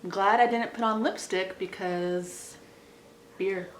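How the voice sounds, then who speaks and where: A woman's voice: mid-range voice, fairly even intonation, slow pace, faint music behind. A young adult woman chatting casually about books as a vlogger, with a giggly, self-deprecating way of talking. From small town. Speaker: book vlogger. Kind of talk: casual chatty book recommendations. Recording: echoey untreated room.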